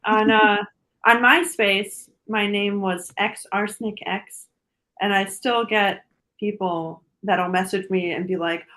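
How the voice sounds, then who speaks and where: A woman's voice: mid-range voice, steady tone, takes it slowly, pushed and bright, low-quality recording. Giggly woman in her forties chatting casually. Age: 40s. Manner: giggly, laughs often while talking. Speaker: podcast guest, dancer and influencer. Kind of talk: casual chat.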